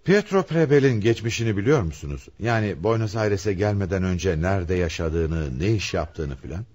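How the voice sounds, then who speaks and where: An actor playing a shrewd, probing police inspector in a Turkish radio play, inquisitive and deliberate. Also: male voice, deep voice, expressive, darkish tone. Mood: analytical. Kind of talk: Turkish radio theatre acting.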